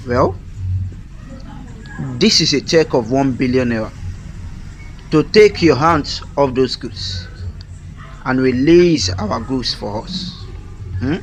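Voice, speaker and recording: A man with a Nigerian accent playing a smug, menacing political chief in a radio drama, theatrical and full of drawn-out interjections. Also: medium pitch, low-quality recording. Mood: coaxing.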